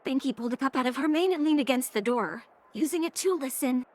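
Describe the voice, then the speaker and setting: A woman's voice: sits high, quick pace. A woman narrating a scripted story as the narrator of an audio drama. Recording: clearly noisy background.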